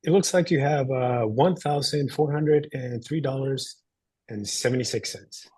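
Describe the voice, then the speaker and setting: A man's voice: mid-range voice, measured pace, dark tone, breathy edge. A man playing a courteous bank teller in his twenties in a script read, businesslike. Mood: polite, businesslike. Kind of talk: dramatic script table read.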